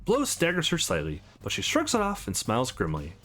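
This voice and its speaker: A man narrates a visual novel as a let's play host. His delivery is hugely varied and expressive, acting out the text as he goes. Faint game music plays behind him. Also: medium pitch, fairly quick. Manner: expressive, acts out the text as he reads. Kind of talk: dramatic read-aloud of a visual novel with offhand commentary.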